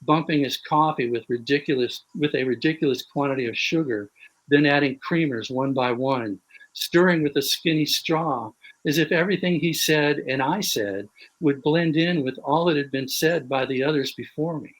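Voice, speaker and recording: A man reading a passage of his own fiction aloud in a measured, wry voice over a video call. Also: a little high, poor audio. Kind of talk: prose reading.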